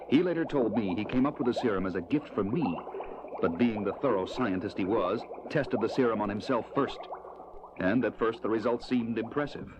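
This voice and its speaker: Low-voiced stoic masked superhero played by a man. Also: heavy background noise, natural voice. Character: stoic masked male superhero, grave and steady. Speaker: actor. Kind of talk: 1970s superhero audio drama acting. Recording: dull 1970s record, music behind.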